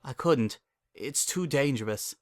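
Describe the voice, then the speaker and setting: A narrator voicing a sorrowful, world-weary pony father in a dramatic story, halting and hollow in delivery. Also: mid-range voice, animated, a little mellow, dry recording, clean studio sound. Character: guilt-ridden, weary old time-traveling stallion father.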